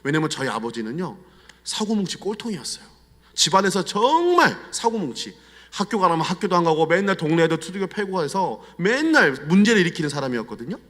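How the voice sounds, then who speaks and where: A middle-aged Korean pastor with a Chungcheong accent, telling personal stories in a confiding, animated sermon style. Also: male voice, high-pitched, fast talker. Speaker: guest pastor. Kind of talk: storytelling sermon. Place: church sanctuary. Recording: long church hall reverb.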